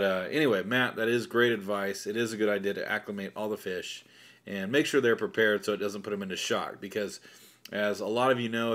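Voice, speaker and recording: Man co-host, somewhat low voice; patient explainer, casual, repeats himself. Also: a bit bright. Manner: explanatory, fatherly, with uh fillers and repeats. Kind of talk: casual home video chat.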